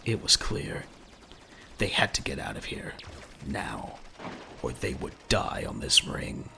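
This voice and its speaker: A man's voice: rumbling low, big pitch swings, slow pace, creaky, over music. A man narrating a scripted audio drama in a dramatic storytelling style.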